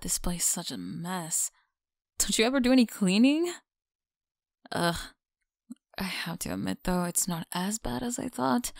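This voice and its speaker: Bratty American college bully girl, creaky voice, acted by a woman. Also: on the low side, no room sound, studio quality. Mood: mock sympathy, teasing. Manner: mocking, sighing, casual slangy talk. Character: mean, teasing, bratty female college bully. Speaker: ASMR roleplay performer. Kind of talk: ASMR audio roleplay, one-sided dialogue.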